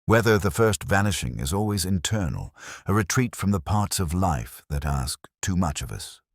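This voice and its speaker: A man voicing a very deep, brooding detective. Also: big pitch swings, gravelly, dry recording, studio quality, exaggerated voice. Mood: brooding, regretful. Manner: measured, brooding, solemn. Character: melancholic, introspective ageing male police inspector narrating his case. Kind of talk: slow literary first-person detective narration.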